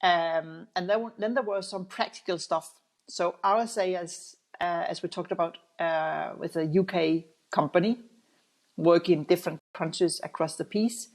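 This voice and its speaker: A middle-aged Danish woman with a Danish accent, answering interview questions thoughtfully, with many pauses and restarts. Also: lowish voice, steady tone, a little mellow. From Denmark. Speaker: guest, audit executive.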